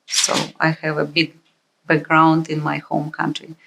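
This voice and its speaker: A woman's voice: deep voice, completely flat delivery, measured pace, gravelly, noisy background. A woman from Ukraine speaking plainly and matter-of-factly in a documentary interview. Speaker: interviewee, immigrant mother.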